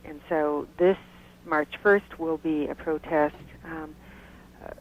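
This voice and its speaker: A woman speaking as a spokeswoman in an interview soundbite, careful and halting, with long hesitations. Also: low-pitched, steady tone. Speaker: church spokeswoman. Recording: tinny phone-like radio soundbite.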